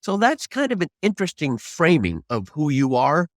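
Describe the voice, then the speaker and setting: A man's voice: on the high side, huge pitch swings, moderate pace, no echo, studio quality. A man in his seventies, a veteran trial lawyer, giving unscripted advice in an emphatic, reflective way and restating points for stress. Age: 70s.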